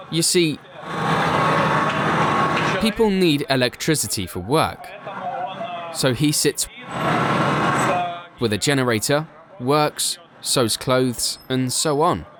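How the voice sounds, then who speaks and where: A man reads a documentary voice-over at a mid pitch. He translates and explains in an even, explanatory way. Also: background noise.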